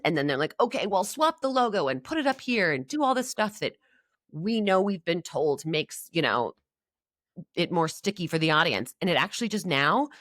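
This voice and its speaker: A woman's voice: sits low, a bit bright, dry recording, studio quality. A woman speaking as a podcast guest, explaining her opinions in an informal, conversational way. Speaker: podcast guest, marketing agency executive.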